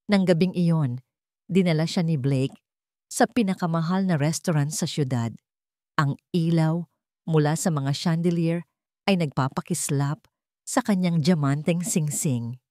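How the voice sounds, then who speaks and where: A woman's voice: low voice, darkish tone, no room sound, studio quality. A woman narrator reading a scripted romance story in a dramatic storytelling style.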